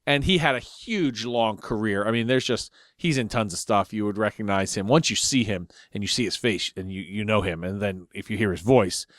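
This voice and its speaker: A man's voice: on the low side, a little fast, hardly any pauses, a bit bright, no room sound, clean studio sound. A man co-hosting a casual fan discussion, opinionated and emphatic in how he talks. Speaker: podcast co-host.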